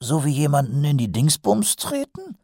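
A man voicing a shifty, cowardly little city watchman in an audiobook, with a raspy, croaky voice and a hesitant, wheedling manner. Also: medium pitch, animated, unhurried, a little mellow, no room sound, clean studio sound, voice pushed up, exaggerated voice. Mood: curious, musing. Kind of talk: audiobook character voice.

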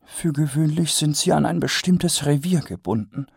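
A man voicing an excited noblewoman who is enraptured by dragons, in a raised, airy voice set back from the mic. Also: a little high, normal speed, gravelly, husky, no echo, clean studio sound, voice pushed up, full character voice. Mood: musing. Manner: excited, gushing, rapt. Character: noblewoman, eager dragon enthusiast, breathless.

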